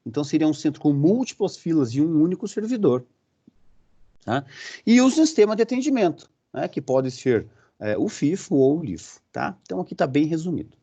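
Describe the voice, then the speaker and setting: A Brazilian-accented man teaching a university class, and his pitch swings widely as he walks the students through each point. His tone is mellow, and he keeps pausing to check in with a quick tag question. The recording is muffled and lo-fi. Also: big pitch swings, slightly rough. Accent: Brazilian Portuguese. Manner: explanatory, often checking in with a quick "right?" and filler sounds. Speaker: university lecturer. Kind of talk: recorded online lecture.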